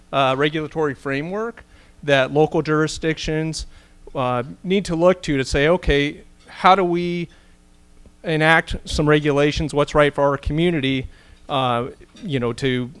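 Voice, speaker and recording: A man in his thirties speaks into a handheld microphone in a large wood-paneled banquet room. His voice is smooth and resonant, earnest and explanatory, with frequent ums and uhs as he walks through local regulation. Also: mid-range voice, a bit bright. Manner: earnest, explanatory, filler-heavy. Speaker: city planning official. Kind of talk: panel presentation.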